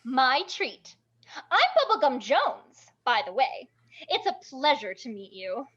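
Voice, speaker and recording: A woman playing a brash, bubbly, blunt burlesque veteran in an animated table read, gushing and bossy with heavy emphasis. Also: high-pitched, unhurried, slightly rough, lo-fi sound, exaggerated voice. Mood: bubbly, excited.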